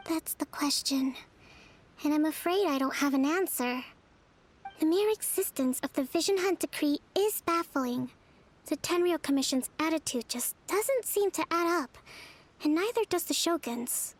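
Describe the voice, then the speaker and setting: A high, feminine voice for a game's resistance commander. She is composed and devoted, with formal, measured and articulate delivery. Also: animated. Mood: earnest, resolute. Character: composed, devoted female resistance army leader, addressed as Excellency. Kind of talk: scripted video game voice acting.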